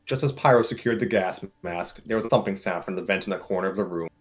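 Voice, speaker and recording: A man's voice: low-pitched, fast talker, soft background music. A man narrating a comic story aloud in an informal group setting, with casual asides.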